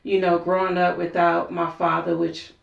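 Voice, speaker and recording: A woman in her forties with a gravelly edge to her voice, telling her life story in an earnest, open way. She sits a little back from the microphone in an echoey room, and the sound is somewhat dull. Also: lowish voice, normal speed. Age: 40s. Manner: earnest, storytelling, animated when quoting herself. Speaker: talk show guest. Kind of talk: candid personal interview. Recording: roomy, distant mic, dull sound.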